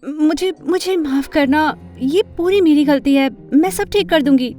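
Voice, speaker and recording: A woman playing an ambitious, fashion-loving teenage girl who narrates her own animated story, dramatic and expressive, with English words mixed in. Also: sits high, a little slow, faint music behind. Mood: apologetic.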